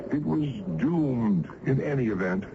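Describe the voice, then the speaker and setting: An actor playing a sly, mocking supernatural stranger who teases humans in a theatrical old radio drama style. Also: male voice, medium pitch, lively intonation, unhurried, vocal fry. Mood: sly, smug. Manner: teasing, chuckling, theatrical. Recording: dull vintage radio recording, faint noise.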